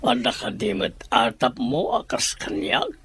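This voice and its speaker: A man playing a grave, suspicious wronged husband in a radio drama, with a husky voice. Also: mid-range voice, huge pitch swings, a little slow, fairly bright tone, exaggerated voice. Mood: troubled.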